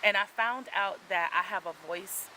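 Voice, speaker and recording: A woman's voice: medium pitch, normal speed, sharp tone, slight background noise, rough recording. A woman in her forties, an author, talking in a casual interview, earnest and reflective, with frequent ums as she gathers her thoughts. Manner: earnest, reflective, faith-centered storytelling with frequent "um".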